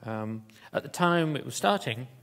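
A man giving a conference keynote in an auditorium, talking in a halting way with many fillers and restarts. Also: medium pitch, lively intonation, vocal fry, fairly bright tone. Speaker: keynote speaker.

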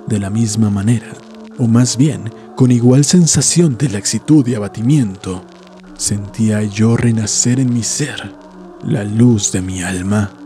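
Man, audiobook narrator, mellow tone, measured literary horror reading, music behind. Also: on the low side. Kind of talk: scripted horror story narration. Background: background music.

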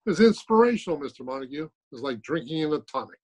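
A man's voice: on the high side, big pitch swings, fast, breathy edge. An older man playing a blunt, matter-of-fact male colleague in an old-time radio comedy. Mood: brisk, flattering. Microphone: phone on video call.

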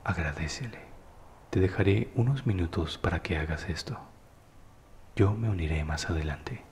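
A man narrating a guided meditation in a calm, soothing, unhurried manner, with phrases that often trail into breathiness. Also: low voice, in a large hall, a little hiss or hum.

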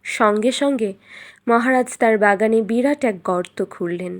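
A woman storyteller reading a scripted fairytale in an expressive, dramatic way. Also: a little high, moderate pace.

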